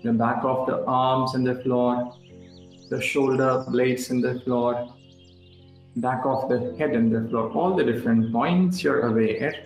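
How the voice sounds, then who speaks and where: Male meditation guide, mid-pitched and slow, calm and instructional, roomy sound with music beneath. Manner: calm, instructional, short phrases with pauses. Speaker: yoga instructor. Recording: roomy echo with music underneath.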